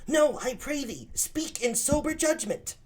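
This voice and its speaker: Casting: earnest young lover, as a reader puts him on, high and boyish, slow and eager. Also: sing-song. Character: young man in love, earnest.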